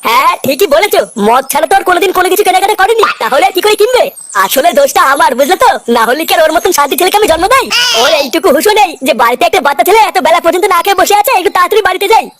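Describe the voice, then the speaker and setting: Cartoon old father: cranky, self-pitying, swearing, chipmunk-high voice at breakneck speed. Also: expressive, racing, hardly any pauses, fairly bright tone, a little hiss or hum. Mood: grumbling, cross. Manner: aggrieved, foul-mouthed, self-pitying. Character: grumbling old father with a sore back. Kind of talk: Bengali comedy cartoon dubbing.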